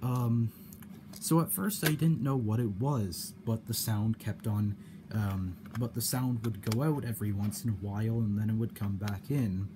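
A man giving a casual explanation in a hobby vlog, halting and often repeating his phrases. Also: lowish voice. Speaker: cassette hobbyist demonstrating a problem. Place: indoor room at home. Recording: clear background noise.

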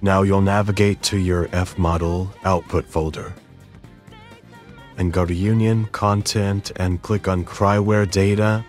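Low-voiced man giving a tutorial. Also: flat delivery, measured pace. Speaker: tutorial host. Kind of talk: step-by-step software tutorial narration. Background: background music.

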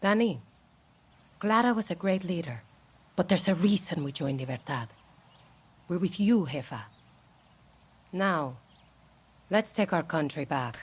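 An actress playing a solemn, steadfast guerrilla comrade in a video game, with a husky voice. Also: female voice, lowish voice, expressive, a little slow, pauses often. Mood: solemn, resolute. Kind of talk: cinematic video game acting.

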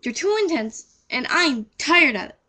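A teenage girl voicing a fed-up, defiant teen with a loud, accusing manner in an amateur audition. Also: sits high, expressive, a little slow, voice pushed up, full character voice. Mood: angry.

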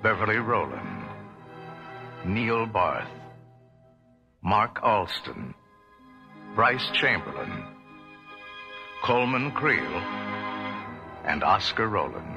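A man's voice: lowish voice, big pitch swings, extremely slow, creaky, long pauses, muffled recording. A man working as an announcer, reading scripted radio credits. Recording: radio credits over loud music.

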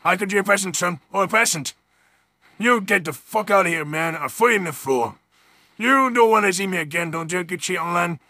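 Male comic voice-over: high-pitched, piercingly bright, crude and exclamatory, dry close sound. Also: animated, brisk, dry recording. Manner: exclamatory, ranting, swearing. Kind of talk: improvised comedic skit voice-over, crude and profane.

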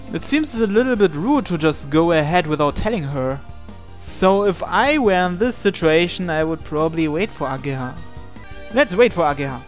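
A person reading visual novel text aloud for a let's play, acting out the dialogue expressively. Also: mid-range voice. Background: game music.

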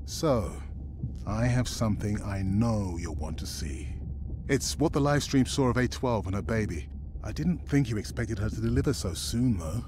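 An actor playing a cautious, quietly caring middle-aged expedition scientist in a video game, measured and deadpan. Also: male voice, fairly low pitch, close to an everyday voice. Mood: dry. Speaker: expedition colleague on video link. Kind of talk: naturalistic video game acting. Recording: clear background noise, ambience.

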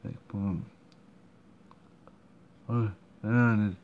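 A man commenting on a game he is playing, halting and full of fillers and restarts, recorded at home. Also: fairly low pitch, steady tone, a little mellow. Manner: hesitant, many fillers and restarts. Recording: dull muffled recording, faint room noise.

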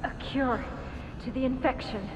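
High-voiced earnest young woman, an actress. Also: steady tone, moderate pace, lo-fi sound, noisy background, natural voice. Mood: hopeful. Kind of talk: dramatic video game cutscene acting.

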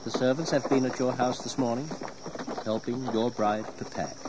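A man's voice: medium pitch, steady tone, moderate pace, noisy background, natural voice. An actor playing a stern, disappointed English squire and father, grave and formal, in an audio history drama.

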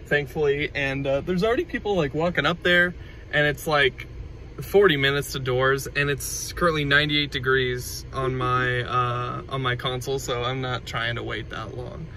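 A man's voice: mid-range voice, fairly even intonation, normal speed, pushed and bright, a little hiss or hum. A young man from Kansas chatting casually into a phone held at arm's length, rambling with lots of fillers and restarts. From Kansas, USA. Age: young adult. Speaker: vlogger. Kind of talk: casual vlog chat. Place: street outdoors, then inside a parked car.

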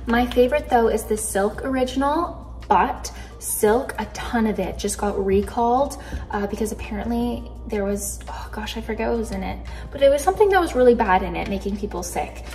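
A young woman from Canada chatting casually in her kitchen, bubbly and easily excited, filmed on a phone camera. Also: fairly high pitch, fairly quick, faint music behind. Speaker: vlogger. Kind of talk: casual grocery haul chat. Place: kitchen indoors.

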